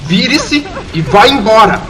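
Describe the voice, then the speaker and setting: A man with a Brazilian accent voicing a brave orphan boy hero in an audio fantasy tale, shouting defiant commands. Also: sits high, sing-song, measured pace, music in the background, poor audio. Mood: defiant, commanding.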